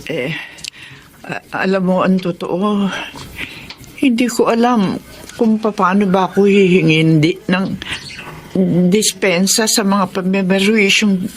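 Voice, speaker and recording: A downhearted, lonely mother, acted by a woman, speaking very slowly in a middle pitch. She sounds plaintive and halting, as in Filipino radio drama. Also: close to an everyday voice. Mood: apologetic.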